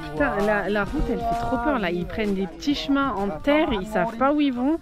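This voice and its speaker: A woman chats informally and expressively in a travel vlog. Her voice sits fairly high, and music plays clearly behind her. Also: brisk. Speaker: travel vlogger. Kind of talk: casual travel vlog chat.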